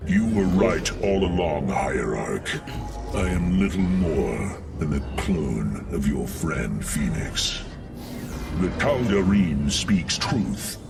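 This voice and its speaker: An actor playing a brooding synthetic alien warrior in a sci-fi game, grave, very deep and gravelly. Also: male voice, very animated, takes it slowly, distant mic, heavily acted. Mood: anguished, grave. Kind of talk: dramatic sci-fi game acting. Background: music.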